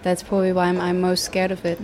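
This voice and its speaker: A woman interviewing a guest, asking questions and reflecting on his answers in a thoughtful, measured way. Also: lowish voice, monotone, darkish tone.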